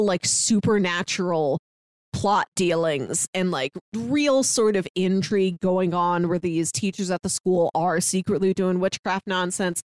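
A woman's voice: mid-range voice, smooth, no echo. A woman co-hosting a podcast, chatting casually about a film with frequent "like" fillers and playful sarcasm. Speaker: podcast co-host. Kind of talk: casual movie discussion.

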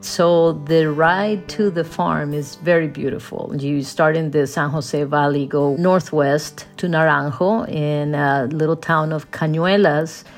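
Low-voiced woman farmer. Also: steady tone, measured pace, few pauses, faint music behind. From Costa Rica. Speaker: coffee farmer guest. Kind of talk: interview storytelling.

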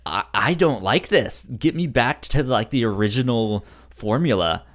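A man of average pitch chats as a podcast host. His delivery is halting, with stuttered syllables as he works toward his point. The tone is informal and conversational. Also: expressive. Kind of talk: casual chat about a cartoon series.

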